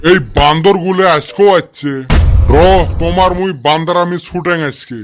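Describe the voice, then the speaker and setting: A man's voice: high voice, fairly even intonation, moderate pace, over music, close to an everyday voice. A man playing an angry village uncle who guards his mango tree in a comedy cartoon, scolding in the Rajbongshi dialect of northern Bengal. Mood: angry, threatening. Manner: scolding, threatening.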